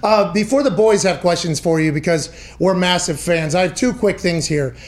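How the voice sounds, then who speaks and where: A brash, joshing podcast host from Pittsburgh whose voice rides high. He talks loudly and ribs his guest in casual banter. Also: moderate pace. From Pittsburgh, USA.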